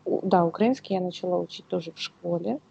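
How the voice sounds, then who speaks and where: Female podcast co-host from Ukraine, low and gravelly, halting conversational delivery, muffled audio. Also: warm tone. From Kyiv, Ukraine. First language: Russian. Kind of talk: casual podcast chat.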